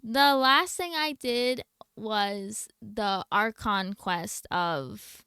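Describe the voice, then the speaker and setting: Woman, game streamer, smooth clear voice, unhurried pace, casual playful banter, slangy. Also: medium pitch, pushed and bright, no echo, studio quality. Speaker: video game livestreamer. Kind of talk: casual livestream chat with viewers.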